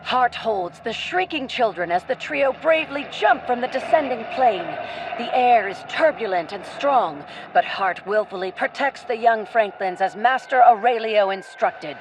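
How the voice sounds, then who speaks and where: A woman's voice: fairly high pitch, animated, moderate pace, noisy background. A woman narrator reading a scripted adventure story in a dramatic style. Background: music.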